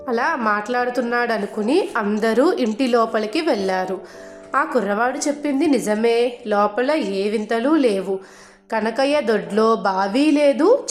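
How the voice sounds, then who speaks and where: A woman narrating a scripted moral folk tale as a storyteller. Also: a little high. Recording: roomy echo, music underneath.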